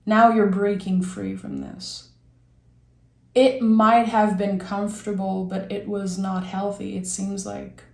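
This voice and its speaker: A young woman with a raspy voice from being sick, giving a gentle, reflective tarot reading and thinking aloud as she draws cards. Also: medium pitch, little pitch movement, takes it slowly, reverberant. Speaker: tarot reader. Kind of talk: soft, slow tarot reading.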